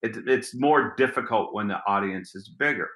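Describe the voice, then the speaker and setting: Gruff, bright-voiced man in echoey room. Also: fairly low pitch, moderate pace. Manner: rambling, repeats words while thinking. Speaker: street magician. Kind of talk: interview answers.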